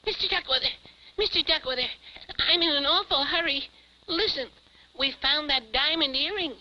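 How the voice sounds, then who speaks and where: Boyish space puppet, high-pitched, cheerful, earnest, 1950s television, hissy recording. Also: female voice, moderate pace, pushed and bright, dull audio. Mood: hurried. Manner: quaint exclamations, eager and earnest.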